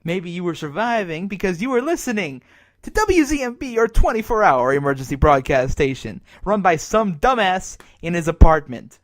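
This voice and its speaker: An actor playing a bitter, despairing emergency radio broadcaster in a zombie apocalypse drama, halting, sardonic and self-berating in his monologue. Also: high voice, very animated. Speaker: radio announcer.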